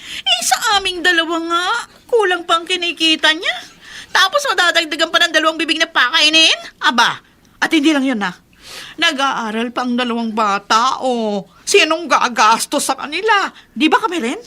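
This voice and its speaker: Shrill, resentful nagging wife. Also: female voice, lively intonation, moderate pace, bright, forceful tone. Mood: exasperated, resentful. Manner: whiny, exasperated. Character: resentful, nagging wife, grudging about money. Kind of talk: radio drama acting.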